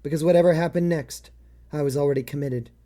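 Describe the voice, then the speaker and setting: A man's voice: on the high side, fairly even intonation, quick pace, warm tone, pitched above the performer's own voice, natural voice. A man voicing a world-weary, fatalistic private detective who narrates a noir story in a brooding, dramatic way. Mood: grimly resolved.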